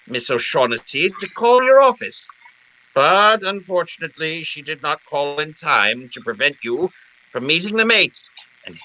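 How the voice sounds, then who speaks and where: An actor playing a genteel, wily criminal mastermind in an old-time radio drama, with florid and courteous phrasing. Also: male voice, on the high side, sharp tone, exaggerated voice, poor audio. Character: genteel, wily older criminal mastermind, falsely jovial and polite.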